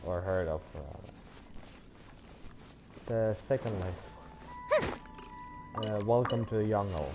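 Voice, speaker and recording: Man rambling casually over loud game music. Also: on the low side, slow, long pauses. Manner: rambling, thinking aloud. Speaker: game streamer. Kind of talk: casual livestream chat while gaming.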